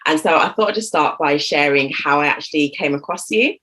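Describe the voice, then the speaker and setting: A woman's voice: low voice, brisk, a bit bright. A woman in her thirties, a GP hosting an interview on a video call, chatty and rambling with lots of fillers. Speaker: host, GP. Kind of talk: casual interview chat.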